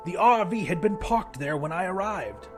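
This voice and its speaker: A man narrating first-person fiction in a dramatic, expressive, scripted audiobook style. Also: high-pitched, normal speed, soft background music.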